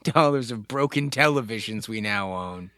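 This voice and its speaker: A man's voice: on the low side, lively intonation, moderate pace, sharp tone, no echo, clean studio sound. A man trading wry, joking banter on a casual comedy podcast. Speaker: podcast co-host or guest.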